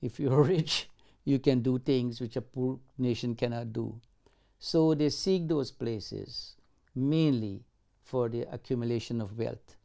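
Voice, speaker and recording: An older man speaking in a measured, deliberate way in a documentary interview. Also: mid-range voice, creaky, dark tone.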